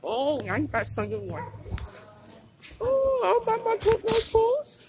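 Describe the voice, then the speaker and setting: A woman in her thirties chatting playfully while shopping in a mall, filmed on a phone held low. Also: huge pitch swings, takes it slowly, heavy background noise. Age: 30s. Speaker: vlogger. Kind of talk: casual chat while shopping. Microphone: phone held low at arm's length.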